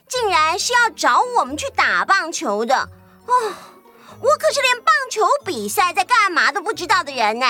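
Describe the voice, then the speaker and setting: A very high, bright voice of a woman playing a bubbly young assistant in a children's audio story. She is chatty, boastful and scatterbrained, with excitable, swooping delivery. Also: exaggerated voice. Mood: amused.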